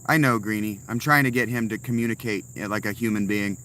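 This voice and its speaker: A man's voice: fairly low pitch, quick pace, bright, forceful tone, a little hiss or hum. A man streaming live from inside a tent, answering chat messages with blunt, sarcastic replies. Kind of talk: casual livestream chat.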